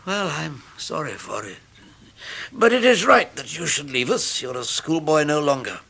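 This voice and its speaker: Gravelly, halting older gentleman. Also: fairly high pitch, very animated, heavily acted. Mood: genial, halting. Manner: hesitant, halting. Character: genial, faltering older gentleman, a father. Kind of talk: radio period drama acting.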